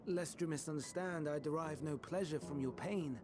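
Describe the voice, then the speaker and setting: An actor playing a melancholy, idealistic scholar in a video game, speaking in measured, formal, archaic phrases. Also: male voice, on the high side, lightly acted. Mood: earnest, wistful. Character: soft-spoken melancholy male scholar, idealistic, quietly tormented. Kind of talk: dramatic video game voice acting.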